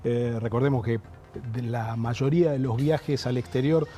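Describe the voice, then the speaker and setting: A man with an Argentine Spanish accent gives political analysis as a guest in a TV interview, explaining things in a measured, formal way. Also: medium pitch, dark tone, soft background music.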